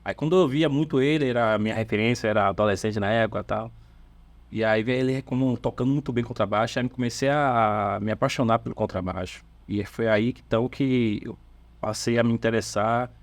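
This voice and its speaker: A man from Salvador with a Bahian accent, a church band's bassist, chatting casually on a podcast in an easygoing, halting storytelling way with fillers and restarts. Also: dry recording. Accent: Bahian Portuguese. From Salvador, Brazil. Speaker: bassist of a church worship band, podcast guest.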